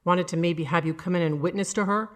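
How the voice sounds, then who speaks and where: A woman's voice: lowish voice, fast, close to an everyday voice. A woman voicing a smug male prosecutor, accusing and mocking, in a short-story reading. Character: smug, needling male prosecutor cross-examining.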